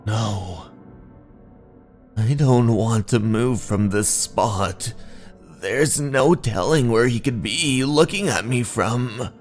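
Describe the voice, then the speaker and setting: A man's voice: medium pitch, slow pace, natural voice. A man voicing an anxious, easily startled boy in a horror manga dub, uneasy and self-reassuring, over music. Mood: frightened.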